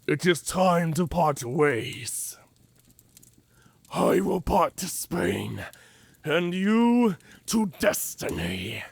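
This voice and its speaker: A man's voice: fairly high pitch, creaky, no echo, heavily acted. A man voicing a proud, cunning werewolf in an audio roleplay, speaking in formal, archaic, solemn phrases. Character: savage, cunning male werewolf, proud, honourable in defeat. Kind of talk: cinematic audio roleplay acting.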